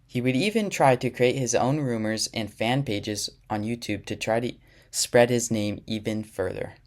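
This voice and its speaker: A man reading scripted documentary narration in an even, measured way. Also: medium pitch.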